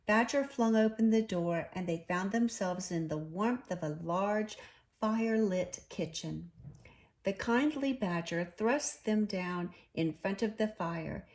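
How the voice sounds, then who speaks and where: A woman narrating a children's storybook in an expressive, deliberate storytelling manner. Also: medium pitch. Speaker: storybook reader.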